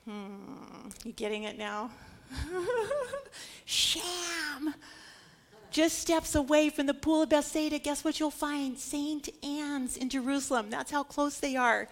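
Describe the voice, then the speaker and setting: High-pitched woman preaching with dramatic emphasis. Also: slow. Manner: dramatic emphasis on key words; rhetorical questions to listeners. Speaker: preacher teaching scripture. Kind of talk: sermon with Bible history teaching.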